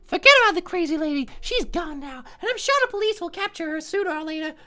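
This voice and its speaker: A man voicing a sassy, indignant young schoolgirl daughter from an anime visual novel in an exaggerated theatrical falsetto. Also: animated, quick pace, sharp tone, exaggerated voice. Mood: exasperated.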